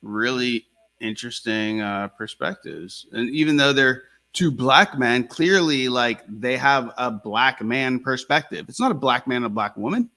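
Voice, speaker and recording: A man's voice: mid-range voice, lively intonation, unhurried, pushed and bright. Easygoing man hosting a casual chat.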